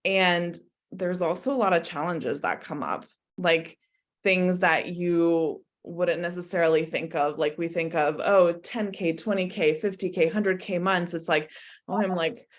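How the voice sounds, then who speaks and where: A woman's voice: on the low side, fairly even intonation, unhurried. A woman from Croatia and America, speaking as an interview guest in an introspective, conversational way peppered with "like". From born in Croatia, lived mostly in America. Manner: introspective, hedging with frequent "like". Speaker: interview guest, business coach.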